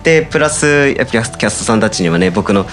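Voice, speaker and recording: A man's voice: on the low side, over loud music. A man who wrote and directed a radio drama, chatting as a guest in an eager, laughing way. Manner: eager, animated, talks quickly with a laugh. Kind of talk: casual guest chat.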